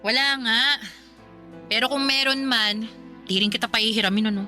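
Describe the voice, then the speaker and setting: An actress playing a blunt, practical older sister who scolds her brother in an exasperated, sharp way. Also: a little high, normal speed, faint music behind. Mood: scolding.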